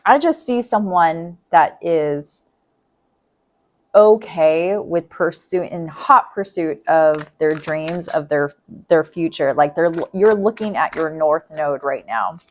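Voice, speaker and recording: A woman reading tarot in a casual, chatty way, thinking aloud and repeating herself, her voice going hoarse. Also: low-pitched, slow, a little mellow, slight background noise. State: losing her voice. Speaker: tarot reader.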